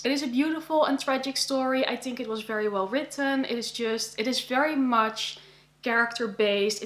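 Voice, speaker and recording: A woman's voice: on the high side, fairly bright tone. Young Dutch woman recommending books, echoey room. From Netherlands. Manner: chatty, earnest, thinks aloud with "yeah" and "you know". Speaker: book vlogger. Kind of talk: casual book recommendations to camera.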